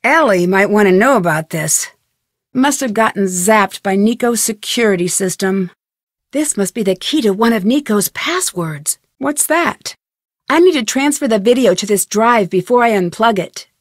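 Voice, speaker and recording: A woman playing a young, inquisitive and determined female amateur detective in a video game, speaking her scripted lines clearly. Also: mid-range voice, expressive, moderate pace, dry recording, studio quality, lightly acted. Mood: thoughtful. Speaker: voice actress in a detective adventure game.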